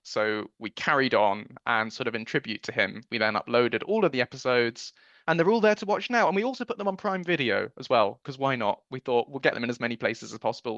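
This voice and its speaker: A man's voice: mid-range voice, a bit bright, no room sound, muffled recording. A man giving a conversational radio interview as a guest producer, speaking in an earnest, enthusiastic way.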